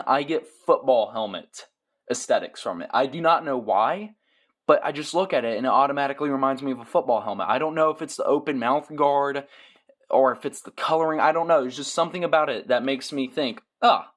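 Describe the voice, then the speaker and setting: A man's voice: medium pitch, lively intonation, a little fast. A man doing casual product review commentary as a toy reviewer, with dry, joking asides.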